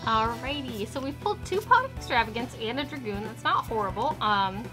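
A woman co-hosting a casual card-opening video, excitable and squealing at big pulls. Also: on the high side, fairly quick, low-quality recording. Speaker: co-host. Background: music.

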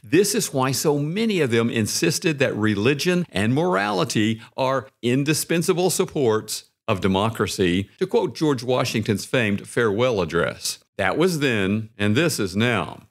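A man narrating a scripted podcast article in a measured, articulate way. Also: mid-range voice.

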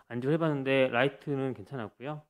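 A man at a conference podium walks through a medical case, speaking into the microphone in a mid-pitched voice. He talks haltingly, with frequent fillers and self-corrections. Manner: hesitant, many fillers and restarts. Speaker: cardiologist presenting a case. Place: conference hall stage.

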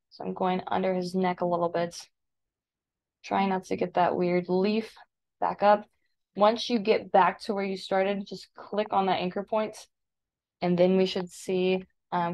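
American woman giving a software tutorial. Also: mid-range voice. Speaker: digital design teacher. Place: voice-over for a screen recording.

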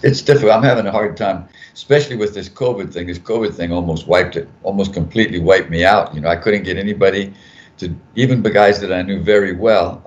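Older male editor, deep voice, rambling and self-correcting, muffled video-call audio. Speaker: journal editor on a panel. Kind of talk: casual panel talk. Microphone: video call mic.

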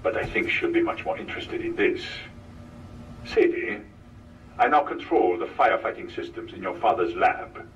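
An actor playing a scheming, corrupt male police commissioner in a game audio log, coldly menacing and smooth. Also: low voice, expressive, moderate pace, dull audio. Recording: distant game audio log with background noise.

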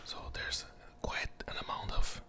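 A person whispering an ASMR candy review close to the microphone, hesitant and often repeating phrases. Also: a little slow.

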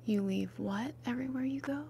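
A woman in her mid-twenties doing ASMR for live viewers in a soft, breathy whisper, chatting gently and repeating words. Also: fairly high pitch, a little fast, slight background noise. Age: 20s, mid-twenties. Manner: gentle repetition of words and sounds.

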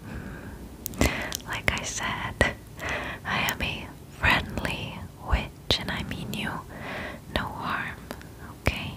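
A young woman in her twenties playing a friendly forest witch in an ASMR roleplay, whispering breathily and gently, close to the microphone. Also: low-quality recording. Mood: soothing, reassuring. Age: 20s. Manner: slow, gentle, reassuring. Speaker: ASMR performer.

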